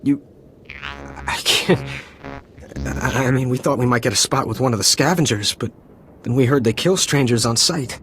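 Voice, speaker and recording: A man's voice: medium pitch, normal speed, pauses often, fairly bright tone, a little hiss or hum, natural voice. A man playing a stammering deserter soldier. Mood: pleading. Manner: stammering, nervous, pleading. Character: weary young male deserter soldier, nervous. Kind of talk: video game cutscene.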